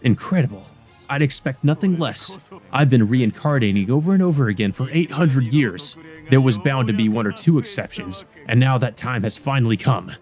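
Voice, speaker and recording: A man's voice: medium pitch, animated, moderate pace, darkish tone, soft background music, natural voice. A man voicing a centuries-old male vampire villain from a visual novel, smug, mocking and theatrical, with a sneer. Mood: gloating. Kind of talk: visual novel character read-aloud.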